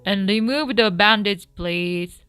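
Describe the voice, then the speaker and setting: A woman's voice: mid-range voice, takes it slowly, a bit bright, smooth. A woman voicing a small male mouse dentist in a storybook, polite, firm and emphatic. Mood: brisk, professional. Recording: background music behind the voice.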